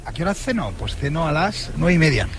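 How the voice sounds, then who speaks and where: A man's voice: on the high side, very animated, fast talker, fairly bright tone, faint music behind. A man from Extremadura, a passerby giving short, agreeable answers on the street. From Extremadura, Spain. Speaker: passerby interviewee. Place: busy street outdoors.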